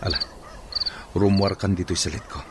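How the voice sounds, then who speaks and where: A man's voice: low voice, steady tone, moderate pace, heavy background noise, natural voice. A man playing a cold, stern husband in a radio melodrama. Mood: cold, angry. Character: cold, stern husband rejecting his wife. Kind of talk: melodramatic radio drama acting.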